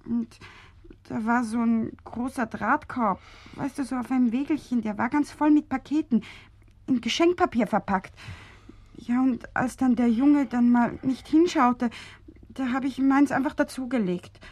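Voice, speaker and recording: A woman's voice: fairly high pitch, a little slow, darkish tone, no echo. An actress playing a nervous, naive young woman in a militant gang in a radio drama. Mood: anxious recounting. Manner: anxious, breathless, naive.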